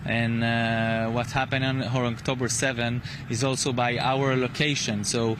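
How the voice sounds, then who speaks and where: A man's voice: medium pitch, level tone, measured pace. An Israeli-accented man, a native Hebrew speaker, giving earnest, emphatic interview answers in English outdoors. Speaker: interviewee, hostage's grandson. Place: outdoors near a rural kibbutz. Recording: noisy outdoor field recording.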